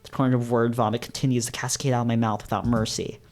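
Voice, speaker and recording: A man's voice: medium pitch, level tone, fast, darkish tone, close to an everyday voice. A man as an overwrought, anxious young fox. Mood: frantic outburst. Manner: agitated, overwrought, self-deprecating. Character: anxious, self-loathing young male fox, melodramatic. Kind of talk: dramatic reading of a visual novel.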